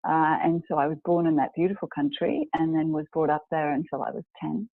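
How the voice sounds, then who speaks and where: A woman in her forties from New Zealand talks about her life as a guest in a live video chat. She speaks in a measured way, pausing often to find the right words. Her voice comes through thin and phone-like, with a dry, close sound. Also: sits low, fairly even intonation, no echo. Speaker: guest, executive coach.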